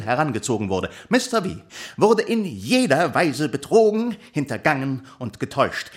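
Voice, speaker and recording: An actor playing a pompous, righteously indignant gentleman clerk, declaiming floridly in a theatrical radio play. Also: on the high side.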